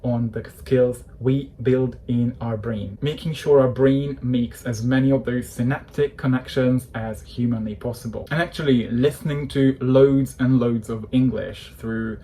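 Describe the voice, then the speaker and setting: Man, 30s, English coach, passionate, opinionated, warm voice. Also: mid-range voice, steady tone, unhurried, hardly any pauses. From Hungary. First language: Hungarian. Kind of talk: direct-to-camera talk.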